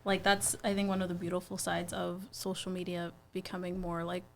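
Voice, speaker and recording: A woman's voice: lowish voice, completely flat delivery, fairly quick, creaky. A woman joining a casual podcast conversation, chatty and full of fillers.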